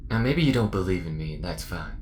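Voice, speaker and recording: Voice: low-pitched, expressive, quick pace, a little mellow, a little hiss or hum. An actor playing a friendly, earnest, helpful android in a sci-fi audio roleplay, with a folksy, polite manner.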